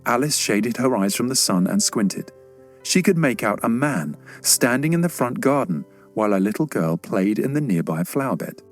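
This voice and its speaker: A man's voice: medium pitch, animated, normal speed, faint music behind. A man reading a scripted children's story as an audiobook narrator.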